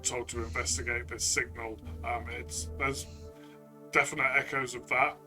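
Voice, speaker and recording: A man's voice: mid-range voice, level tone, moderate pace, music in the background. A man co-hosting a review discussion in a casual, conversational style. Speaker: co-host and game reviewer.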